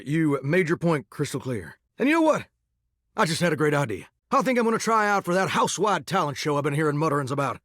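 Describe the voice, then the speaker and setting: An actor voicing a lovesick talking shower in a game, with a drawl and a folksy, earnest way of talking. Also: male voice, fairly high pitch, no echo, studio quality. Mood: hopeful. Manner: folksy, earnest and sweetly corny. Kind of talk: video game character dialogue.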